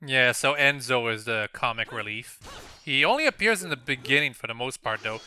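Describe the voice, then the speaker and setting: A man's voice: medium pitch, expressive, brisk, pushed and bright, a little hiss or hum. A man giving casual, explanatory commentary over a video game walkthrough in an informal way. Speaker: gameplay commentator. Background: faint game music.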